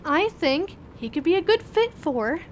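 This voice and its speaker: A woman's voice: very animated, measured pace, heavily acted. An actress playing a hesitant, hopeful woman doctor in an audio drama, with a pleading manner. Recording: faint low rumble behind.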